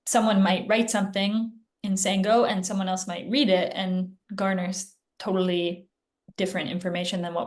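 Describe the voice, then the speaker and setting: A woman physician answering interview questions in a casual, chatty way, often pausing with "like" and "um", heard over a video call. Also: fairly low pitch. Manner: chatty, filler-heavy, frequent "like" and "um". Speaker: guest physician.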